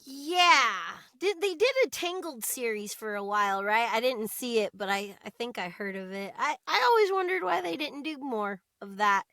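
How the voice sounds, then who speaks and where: An American woman chatting casually as an interview guest, expressive and chatty. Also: a little high, normal speed, few pauses, no room sound, clean studio sound. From United States.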